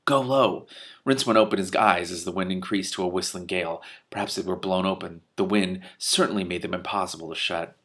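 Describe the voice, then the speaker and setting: A man with a fairly low voice reads a fantasy novel aloud. His delivery is expressive, and he serves as both reader and commentator. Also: fast talker, few pauses.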